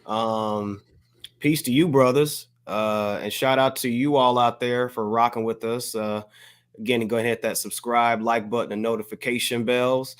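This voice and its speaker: An American man co-hosting a podcast, giving casual shout-outs in an earnest, measured way that mixes formal phrasing with slang. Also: mid-range voice, steady tone, smooth. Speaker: podcast co-host.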